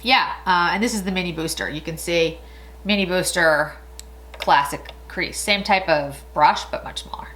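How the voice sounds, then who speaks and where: A woman's voice: fairly low pitch, normal speed, a bit bright. Chatty woman in her forties. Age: 40s. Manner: chatty, rambling, laughs often. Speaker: beauty vlogger. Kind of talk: casual makeup tutorial chat.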